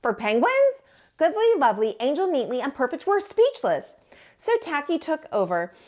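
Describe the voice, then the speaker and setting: A woman narrating a children's storybook as a storytime teacher, in an expressive read-aloud style. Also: high voice, normal speed.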